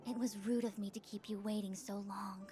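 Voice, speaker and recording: Brief: poised formal seer woman, measured and dry, game music underneath woman acting it. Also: medium pitch, dark tone, close to an everyday voice. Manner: measured, formal, dryly exasperated. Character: calm stern female seer, formal and weary.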